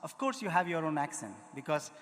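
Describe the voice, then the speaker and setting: A man with an Indian accent giving a lively lecture demonstration, quizzing the audience and sounding out syllables. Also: fairly high pitch, brisk. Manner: demonstrative, engages the audience with questions and spoken syllables. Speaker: music lecturer. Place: large auditorium. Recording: hall reverb.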